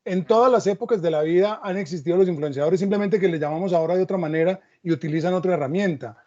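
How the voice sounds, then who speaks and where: A man moderates a panel discussion, turning the talk with reflective remarks and short stories. His voice is high for a man yet soft and mellow. The recording sounds dull and lo-fi. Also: fast. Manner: reflective, storytelling asides.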